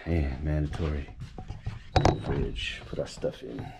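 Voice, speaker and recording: A man casually narrating a vlog as he tours his weightlifting gym, relaxed and chatty. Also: rumbling low, takes it slowly, vocal fry, very noisy. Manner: relaxed, chatty, laid-back. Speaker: vlogger touring his gym. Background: music.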